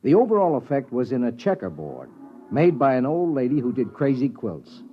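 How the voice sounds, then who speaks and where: Wry male space surveyor, narrating 1950s radio sci-fi. Dry yet highly inflected; muffled vintage sound, music behind. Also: big pitch swings, moderate pace, slightly rough. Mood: wry, vivid. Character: wry, matter-of-fact male space surveyor with a stomach ulcer. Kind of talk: 1950s radio drama first-person narration. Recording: dull vintage radio recording.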